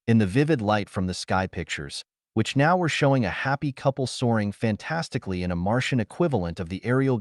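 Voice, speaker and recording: A man's voice: fairly low pitch, no room sound, studio quality. A man reading a pulp science fiction story as a scripted audiobook narration.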